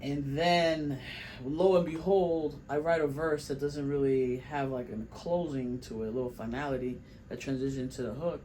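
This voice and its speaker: A man's voice: medium pitch, fairly even intonation, normal speed, a little mellow, rough recording. Young man, rambling reflective talk, faint hiss in the studio. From Miami, South Florida. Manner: rambling, reflective, repeats himself. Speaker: rapper and vlogger. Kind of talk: casual studio vlog talk. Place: recording studio.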